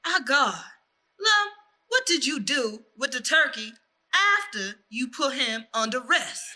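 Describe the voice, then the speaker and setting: A woman's voice: sits high, very animated, a little slow, sharp tone, full character voice. Woman acting piercing, bossy Southern town boss. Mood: impatient, threatening. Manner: scolding, demanding, exclamatory. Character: exasperated, bossy male Southern town boss demanding answers. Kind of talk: theatrical dialect comedy.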